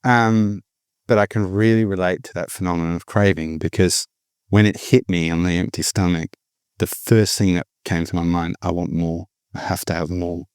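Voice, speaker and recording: A man's voice: sits low, fairly even intonation, moderate pace, darkish tone, clear, resonant tone, no room sound, studio quality. A middle-aged man, candidly telling his personal recovery story in a reflective way. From born in the Philippines, raised abroad. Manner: reflective, candid, with frequent restarts. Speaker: guest sharing recovery story.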